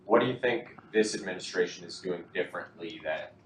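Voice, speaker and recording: Guy reporter asking a question, really low voice, roomy echo. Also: a little fast. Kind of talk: press conference questioning. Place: press conference room. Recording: echoey room with faint noise.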